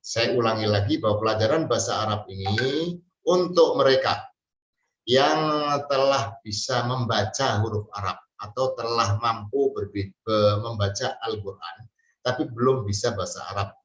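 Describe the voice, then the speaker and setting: A man from Central Java, giving an Arabic language lesson slowly and hesitantly, with many fillers. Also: on the low side. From Central Java, Indonesia. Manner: slow, hesitant, with many fillers and drawn-out pauses. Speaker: Arabic teacher. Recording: distant mic, roomy and dull sound.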